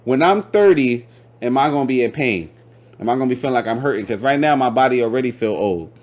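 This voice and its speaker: A man's voice: medium pitch, animated, fast talker, darkish tone. A man in his late twenties hosting a casual interview, slangy and joking, often talking over his guest. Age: late 20s. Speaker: host.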